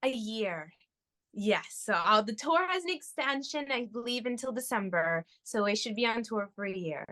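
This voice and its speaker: A woman's voice: a little high, expressive, moderate pace, bright, forceful tone. A young woman from Florida, a touring musical theater performer, chatting as a guest in an interview and laughing often. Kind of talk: casual interview chat.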